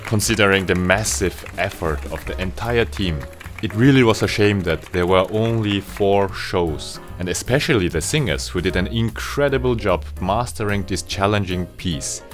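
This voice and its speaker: A low-voiced man from Austria tells the story of his own work as an opera director. He speaks earnestly and appreciatively, in a calm vlog narration with faint music underneath. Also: normal speed.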